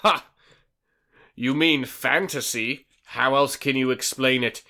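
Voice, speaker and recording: Mocking villainous demon, read by a male podcaster: mid-pitched, sneering, relishing every line. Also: slow pace, sharp tone. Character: smug sinister male demon, mocking and gleeful.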